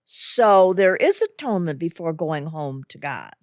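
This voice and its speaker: An actress plays a gentle, uncertain woman just arrived in the afterlife in an audio comedy. She speaks slowly and earnestly, with lively rises and falls in a dry, close recording. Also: on the low side, no echo. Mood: curious. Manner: tentative, questioning, earnest. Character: hesitant, sweet, newly dead married woman. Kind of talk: audio theatre comedy acting.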